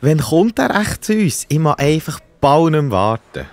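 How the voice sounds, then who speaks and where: A man's voice: on the high side, sing-song, moderate pace, no echo. A man with a Swiss German accent playing an impatient, wondering disciple in a children's Bible audio drama.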